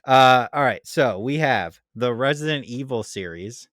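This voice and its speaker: A man's voice: medium pitch, animated, a little slow, fairly bright tone, dry recording, clean studio sound. A man hosting a podcast, chatting casually and informally as he debates. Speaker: podcast host.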